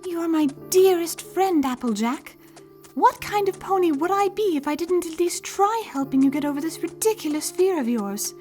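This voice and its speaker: A woman's voice: very high-pitched, animated, normal speed, darkish tone, faint music behind. A woman voicing a refined, caring female unicorn pony in a fan fiction audio drama, theatrical and affectionate in manner. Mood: earnest insistence.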